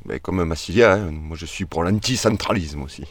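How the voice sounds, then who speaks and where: A man's voice: sits low, animated, normal speed, slight background noise. A man interviewing guests, putting his questions and remarks in a casual, conversational way.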